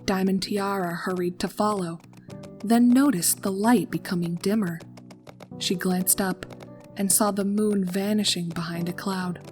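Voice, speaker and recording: A woman reading a horror story aloud in a calm, steady narrating voice. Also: mid-range voice, measured pace, over music.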